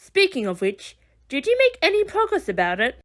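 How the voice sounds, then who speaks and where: A woman voicing an excitable, exasperated heroine in an audio drama, with exclamatory, sarcastic complaints. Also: high-pitched, moderate pace. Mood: matter-of-fact. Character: exasperated, excitable woman who teleports with gadgets. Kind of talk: amateur audio drama acting.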